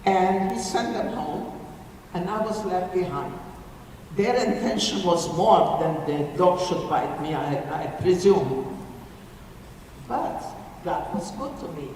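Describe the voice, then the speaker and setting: A woman, giving halting, emotional testimony as a survivor speaking to an audience. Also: on the low side, expressive, darkish tone. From Ukraine. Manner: halting, emotional, restarts phrases. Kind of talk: personal testimony talk to an audience. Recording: echoey hall from far off with faint noise.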